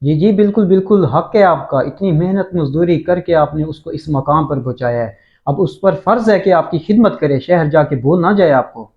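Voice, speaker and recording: A man's voice: on the high side, expressive. A man acting as a helpful, respectful younger villager in a moral drama, polite and reassuring. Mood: respectful, warm. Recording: thin phone-like sound.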